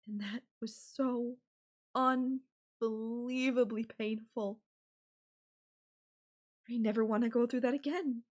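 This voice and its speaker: A woman playing an obsessive, possessive, murderous girlfriend in an ASMR audio roleplay, speaking deliberately with drawn-out phrases and pauses. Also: fairly high pitch, fairly even intonation, a little mellow. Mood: pained devotion.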